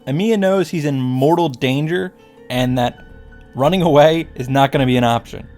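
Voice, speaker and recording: A man's voice: a little high, normal speed, darkish tone, soft background music. A man giving a sarcastic, profane recap and commentary on a visual novel, as the host of a video. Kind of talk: game recap with commentary, reading lines aloud.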